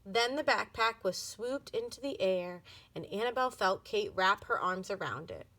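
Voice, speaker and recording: A woman reading a children's book aloud as an expressive storybook narrator. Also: mid-range voice, normal speed, low-quality recording.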